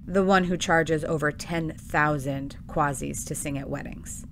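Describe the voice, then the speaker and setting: A woman's voice: low voice, steady tone, measured pace, slight background noise. A woman playing a weary, skeptical hotel manager in a comedy audio drama, dry and unimpressed. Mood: resigned, dry.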